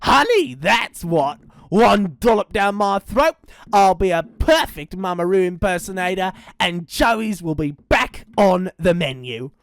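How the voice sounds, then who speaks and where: Lady doing a loud scheming dingo, storybook villain, swings all over the place, dry sound. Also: very animated, unhurried, no echo. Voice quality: loud, theatrical, sliding high when faking a sweet voice. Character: big bad male dingo, scheming and greedy.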